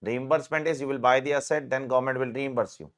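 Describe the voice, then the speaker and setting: Mumbai guy, indian english accent, tax teacher breaking stuff down casually, mid pitch. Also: fast talker. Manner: relaxed, explains step by step with friendly asides. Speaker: tax lecturer. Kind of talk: casual conversation and explaining.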